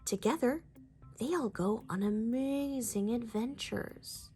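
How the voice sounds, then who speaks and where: A woman's voice: a little high, slow, a little mellow, faint music behind. A woman reading a children's storybook aloud as scripted narration.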